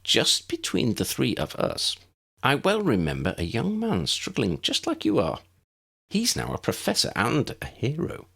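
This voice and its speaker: A man voicing a kindly, excitable little old wizard professor with a genteel, precise, avuncular manner. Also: medium pitch, a little slow, gravelly, slightly rough, pitched above the performer's own voice, exaggerated voice. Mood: reassuring.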